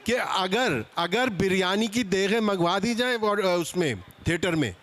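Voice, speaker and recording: A man's voice: sits high, lively intonation, a little slow, a bit bright. A man moderating a seminar panel, interjecting and repeating his phrases as he takes the floor.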